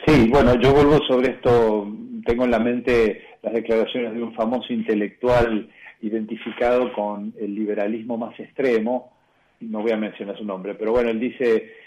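A man with an Argentine accent, a bishop answering questions in a radio interview, measured and reflective, often pausing and restarting. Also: lowish voice, fairly even intonation, a little mellow, dull audio. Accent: Argentine Spanish.

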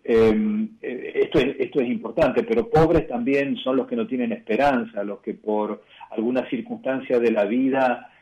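A man with an Argentine accent, a bishop answering questions in a radio interview, measured and reflective, often pausing and restarting. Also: mid-range voice, dark tone, highs cut off. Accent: Argentine Spanish.

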